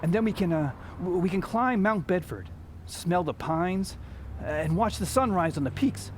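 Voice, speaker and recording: A man's voice: high-pitched, lively intonation, moderate pace. An actor playing a restless, kindhearted young man in a radio drama, with a hesitant, folksy way of talking. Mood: eager, dreamy. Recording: faint background noise.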